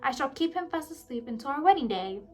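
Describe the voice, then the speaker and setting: A woman's voice: high voice, expressive, fast talker, a little mellow, soft background music. A woman voicing a greedy, sneering female villain in a children's storybook, talking with a cackle. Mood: gloating.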